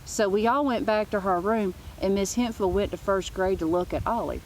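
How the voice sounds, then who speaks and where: A woman's voice: medium pitch, normal speed, darkish tone, slight background noise, rough recording. A woman reading a children's book aloud as a storyteller, in an informal manner. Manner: informal, with asides.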